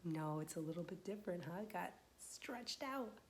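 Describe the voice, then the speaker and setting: A young woman, a children's librarian, reading a picture book aloud to small children, gentle and patient, asking them questions and waiting for their answers. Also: fairly low pitch, expressive, moderate pace, a little hiss or hum.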